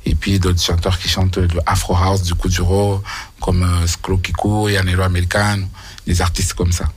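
A man from Angola, a native Portuguese speaker, talks as a guest singer on the radio. His voice is very low and husky. He pauses often and leans on fillers. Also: level tone. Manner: hesitant, many fillers. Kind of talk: radio interview answers.

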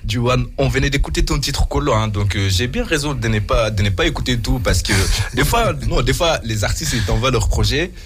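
A man's voice: mid-range voice, lively intonation, a little fast, few pauses, fairly bright tone, soft background music. A male radio host leading a casual interview, chatty and repeating himself, often tagging sentences with filler words. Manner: chatty, repeats words, says "tu vois" and "quoi".

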